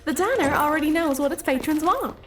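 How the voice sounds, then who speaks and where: A woman's voice: sits high, animated, fairly quick. A woman playing an eerily polite diner waiter in an audio drama, with a sing-song service manner and pushy formality. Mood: saccharine, pushy. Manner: speaks of self in third person, sing-song service voice.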